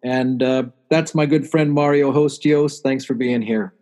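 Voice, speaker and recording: A man from the Washington DC area hosting his own show, chatting casually with a guest and often pausing on "uh". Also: mid-range voice, fairly even intonation, a little mellow. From Washington DC area, USA. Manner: friendly, chatty, many "uh" fillers. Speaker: podcast host. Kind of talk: casual interview chat.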